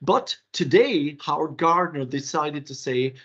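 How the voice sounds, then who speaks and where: An older Irish man whose first language is Irish Gaelic gives a webinar workshop in an animated, rhetorical way, with theatrical asides, heard over a video call. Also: on the high side, a little slow. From Ireland. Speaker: workshop presenter. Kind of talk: webinar lecture. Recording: dull lo-fi webinar audio.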